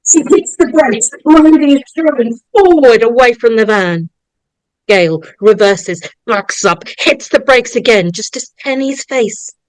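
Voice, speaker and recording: A woman narrator reading horror screenplay stage directions in a dramatic, suspenseful way, with deliberate pauses. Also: mid-range voice, no room sound, rough recording. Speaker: narrator of a script table read.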